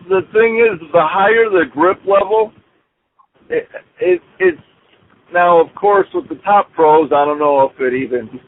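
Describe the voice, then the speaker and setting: A man chatting casually as a guest on a phone call, thinking aloud in a conversational way. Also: on the high side. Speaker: guest, hobby club racer. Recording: noisy, tinny phone line.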